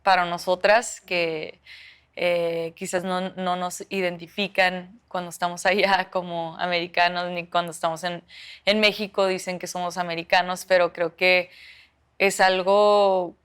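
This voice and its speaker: Halting young woman footballer. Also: on the low side, level tone, fairly bright tone, smooth. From United States. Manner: hesitant, many fillers and restarts. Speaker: professional footballer. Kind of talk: interview answers.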